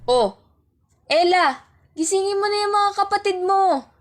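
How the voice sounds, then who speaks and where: High-voiced caring mother played by a woman. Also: animated, measured pace. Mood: brisk, caring. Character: caring, hard-pressed mother hurrying her children along. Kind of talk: amateur radio drama acting. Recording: faint noise, clicks and clatter.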